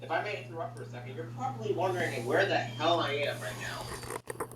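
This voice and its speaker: A man's voice: a little high, animated, brisk. A man in casual banter, joking and swearing freely. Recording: echoey, loud chatter behind.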